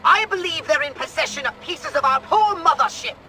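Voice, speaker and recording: A man's voice: extremely high pitch, lively intonation, a little fast, noisy background. An actor playing a fussy, imperious alien commander in a video game, prim and theatrical as he gives orders. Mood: officious, urgent. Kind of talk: video game cutscene acting.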